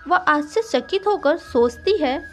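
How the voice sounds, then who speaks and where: A woman's voice: high-pitched, expressive, a little slow, a little hiss or hum. A woman narrating a scripted moral story for children as a storyteller.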